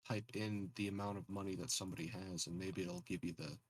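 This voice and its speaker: A man's voice: low-pitched, fairly even intonation, fast talker, no echo. A man chatting casually in a game group, offering tentative suggestions. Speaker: player in an online tabletop game group. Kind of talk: casual chat.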